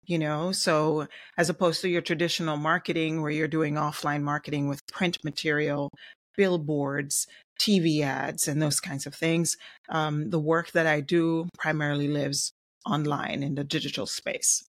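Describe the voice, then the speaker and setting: A middle-aged woman with a light Jamaican accent chats as an interview guest. She speaks in a friendly, measured way and pauses often to find her words. The sound is dry and close, with no room echo. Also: low-pitched, flat delivery. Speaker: guest, web designer and digital marketer. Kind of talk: casual interview chat.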